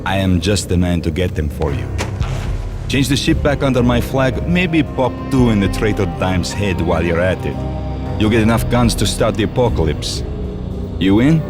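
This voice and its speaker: An actor playing a jovial gangster arms dealer in a video game, playful and persuasive in a theatrical way. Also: male voice, lowish voice, lively intonation, normal speed, over music, close to an everyday voice. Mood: businesslike, persuasive. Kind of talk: dramatic video game acting.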